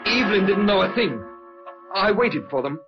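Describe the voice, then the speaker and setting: A man playing an earnest, guilt-ridden young lover in an old radio drama, speaking haltingly but emphatically. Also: sits high, expressive, over music. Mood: anguished, confessing. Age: young adult. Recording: muffled old radio recording.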